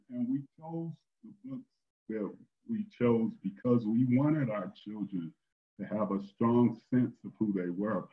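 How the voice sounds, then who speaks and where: Slow, low-voiced older man. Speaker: storyteller and host. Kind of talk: expressive read-aloud storytelling, then casual chat. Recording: dull, lo-fi online call audio.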